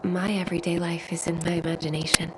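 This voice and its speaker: An actress playing a shy, dreamy, bookish young woman who speaks softly and wistfully, often half-whispering. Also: on the low side, monotone, fast, very noisy, lightly acted.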